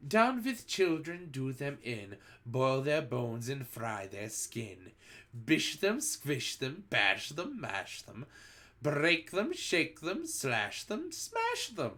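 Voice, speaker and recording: Reader voicing the shrill gloating Grand High Witch. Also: very animated, takes it slowly. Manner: shrill, shouting, singsong chanting. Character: gloating, cruel old witch queen. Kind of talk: storybook read-aloud.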